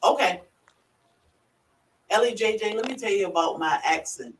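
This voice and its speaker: A woman with a rural Virginia accent hosting a casual livestream, chatty and quick to laugh as she greets viewers by name. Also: medium pitch, a little hiss or hum. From Virginia, USA. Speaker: livestream host. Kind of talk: casual live chat. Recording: echoey room, far from the mic.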